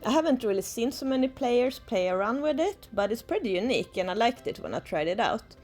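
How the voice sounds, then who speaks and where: A woman's voice: on the high side, sing-song, brisk, darkish tone, soft background music. A young woman with a Swedish accent, chatting enthusiastically in a casual game guide recorded on an outdoor balcony. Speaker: gaming YouTuber. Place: outdoor balcony, tropical resort.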